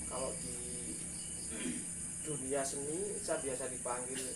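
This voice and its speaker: A man from Central Java with a Banyumasan Javanese accent, answering interview questions in short, measured phrases. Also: medium pitch, little pitch movement, over music. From Central Java, raised in East Kalimantan. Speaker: guest, puppeteer and teacher. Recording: roomy, distant, noisy with crickets.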